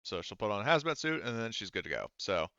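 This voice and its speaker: A man running a tabletop roleplaying game as game master, explaining the scene in halting, unscripted narration. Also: fairly low pitch, big pitch swings, vocal fry, sharp tone, clear, resonant tone, no room sound, highs cut off.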